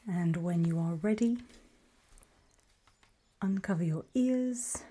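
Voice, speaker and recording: A woman playing a calm, faintly sinister guide in a creepy ASMR roleplay, whispering slowly and deliberately with a breathy voice. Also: lowish voice. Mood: soothing, ominous. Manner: slow, deliberate, coolly instructive, softly ominous. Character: calm, faintly sinister female guide briefing people on alien dangers.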